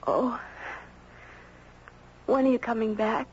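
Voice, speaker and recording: Young girl, high-pitched, breathy husk, plaintive and halting, childlike candour, muffled old radio drama. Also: steady tone. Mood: innocent. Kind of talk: 1970s radio drama acting. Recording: dull 1970s radio broadcast.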